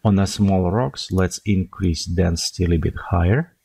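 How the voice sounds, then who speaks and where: Tutorial narrator: man, deep gravelly husky voice, dark tone, dry close mic, thinks aloud while clicking. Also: moderate pace, no room sound. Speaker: software tutorial instructor. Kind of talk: screen-recorded software tutorial.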